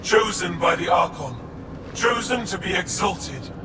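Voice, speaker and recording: An actor playing a zealous, commanding alien priest in a video game, speaking in solemn, ceremonial proclamations. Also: male voice, sits high, animated, unhurried, very noisy. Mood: solemn, reverent. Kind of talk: dramatic video game acting. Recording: echoey, distant, under loud music.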